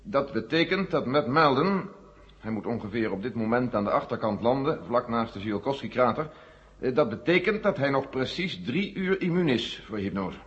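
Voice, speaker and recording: A man acting a sober, authoritative general in a Dutch radio play, answering in a measured, formal way. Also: mid-range voice, animated, natural voice. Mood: grave, resigned. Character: frank, sober American general leading a space agency. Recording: dull 1970 radio recording, echoing hall.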